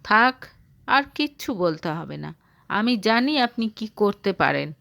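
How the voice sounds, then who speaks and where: Brooding boss for audio romance female narrator acting: stern, cold, slow, commanding, dry recording. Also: medium pitch, very animated, creaky, sharp tone, no room sound, full character voice. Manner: grave, cold. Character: stern, brooding male boss, curt and protective.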